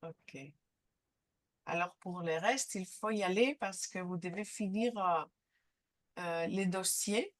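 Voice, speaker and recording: A woman with a head cold leads a live online training session, speaking haltingly with frequent filler sounds. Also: on the low side. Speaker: seminar trainer.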